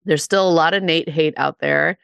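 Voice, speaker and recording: Low gravelly woman podcast host. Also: fairly even intonation, normal speed, a bit bright, no room sound. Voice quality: nasal. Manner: chatty, laughs often, many fillers. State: under the weather, congested. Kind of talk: casual podcast chat.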